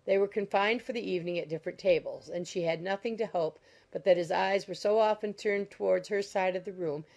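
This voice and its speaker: Lowish-voiced woman narrating a novel. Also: darkish tone. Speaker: audiobook narrator. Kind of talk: scripted literary narration.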